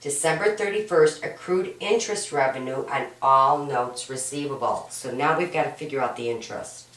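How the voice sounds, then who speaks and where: A woman teaching an accounting homework walkthrough, hoarse and raspy from a cold, patient and step-by-step, often checking in with "right?". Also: rumbling low, moderate pace, fairly bright tone. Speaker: accounting instructor. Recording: roomy echo, mic at a distance.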